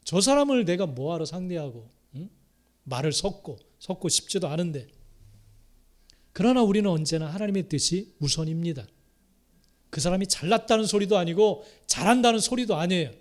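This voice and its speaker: Middle-aged male Korean pastor, slightly high voice, sermon delivery with repeated phrases and sharp loud-to-soft shifts. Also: lively intonation, brisk. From Korea, Chungcheong province. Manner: swings from loud rising exhortation to soft muttered asides; repeats key phrases, calls out hallelujah.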